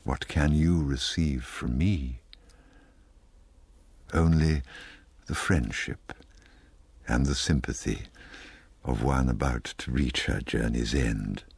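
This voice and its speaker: A man voicing a wistful, insistent ageing society lady from a poem, halting and yearning. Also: very deep voice, gravelly, darkish tone, husky, no room sound, exaggerated voice. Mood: plaintive, resigned.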